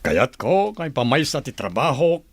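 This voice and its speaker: A man acting an earnest, modest provincial governor and fond father in a radio drama, speaking in a measured, sincere way. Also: mid-range voice, big pitch swings, no room sound.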